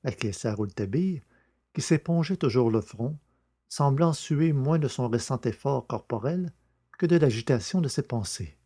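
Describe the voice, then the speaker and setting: A man's voice: medium pitch, lively intonation, normal speed, mellow, slightly rough. A man narrating a novel in a scripted, literary storytelling style. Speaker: audiobook narrator.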